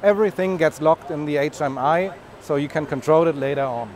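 A man's voice: a little high, brisk, a little mellow. A man in his thirties giving a walking product demonstration as a business development manager, explaining and pointing as he goes. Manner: explanatory, walks and points while talking. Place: large busy trade fair hall. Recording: noisy trade fair hall.

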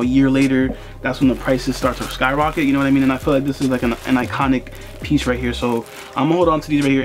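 A young man in a casual unboxing vlog, talking in a relaxed, chatty way with lots of fillers, seated in a small room in front of the camera. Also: medium pitch. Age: young adult. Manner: laid-back, chatty, lots of "you know" fillers. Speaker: sneaker and streetwear vlogger. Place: bedroom with sneaker boxes, indoors.

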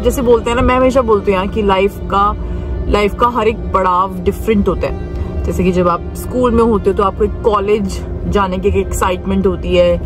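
A woman's voice: mid-range voice, poor audio. A woman in her thirties talking casually to camera in a vlog, rambling and dropping in English phrases, filmed from a dashboard camera inside a car. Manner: chatty, mixes in English phrases, rambling asides. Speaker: vlogger. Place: inside a car, then at home and a salon.

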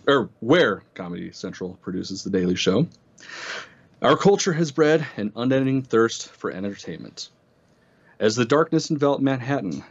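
A man reading a literary essay aloud with casual asides, stumbling over words now and then. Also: medium pitch. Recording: slightly dull, band-limited audio.

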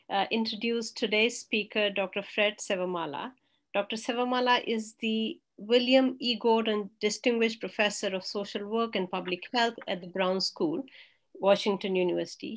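A middle-aged woman moderates over a video call, introducing the speaker. She reads his credentials with emphasis, leaning into the key points. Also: mid-range voice. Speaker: moderator, professor. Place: room at home on a video call.

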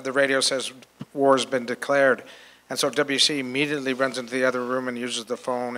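An older man casually telling anecdotes to an audience, jocular and a little halting. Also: medium pitch, sharp tone, poor audio. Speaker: panel speaker answering questions. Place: hotel conference room with audience. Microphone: handheld microphone.

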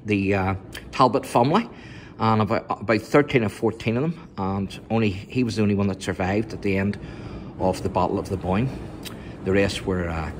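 Man, middle-aged, lowish voice, reading museum panels aloud, phone recording indoors. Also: a little hiss or hum. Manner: reads plaques aloud with stumbles and asides. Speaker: vlogger touring a museum. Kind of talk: casual walkthrough, reading display panels aloud. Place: indoor museum exhibit room.

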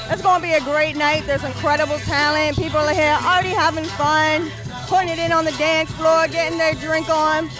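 Bubbly high-voiced party reporter woman. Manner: upbeat and bubbly. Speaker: host reporter. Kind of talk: on-the-spot party interviews. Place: dark nightclub. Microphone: handheld mic. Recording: music playing behind.

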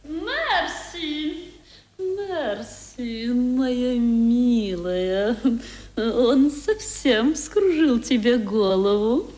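An actress in a 1970s radio play playing a prim, catty young sister who talks in a mannered, condescending way. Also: female voice, on the high side, lively intonation, drawn-out words, a little mellow. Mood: catty, teasing.

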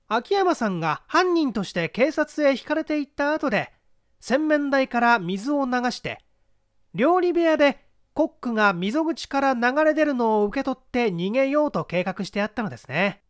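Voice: high-pitched, expressive, moderate pace, dry recording, studio quality, pitched above the performer's own voice. A narrator voicing a clever schoolboy detective, explaining a crime with methodical assurance in an audiobook reading. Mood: confident, explaining.

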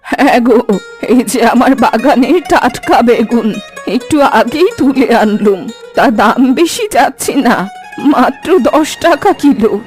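A woman's voice: sits high, expressive, a little slow. A woman voicing a chatty old village granny in a cartoon. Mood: selling briskly. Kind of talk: cartoon dialogue. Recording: background music and crowd bustle.

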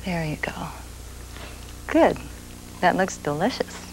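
A woman in her forties talks slowly and gently as she walks through a recipe on a studio kitchen set. Her voice sits a little low. The sound is an old videotape copy with clear hiss underneath. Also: lively intonation, low-quality recording. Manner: slow, gentle and soft-spoken. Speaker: cooking show host. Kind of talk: TV cooking demonstration. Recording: grainy 1980s videotape with noise.

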